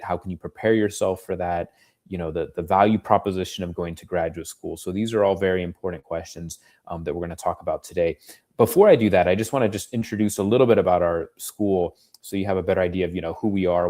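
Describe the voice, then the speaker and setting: A man's voice: low voice, darkish tone. An American man, a university dean, giving an informal, conversational webinar presentation with frequent filler phrases. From United States. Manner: conversational, frequent "you know".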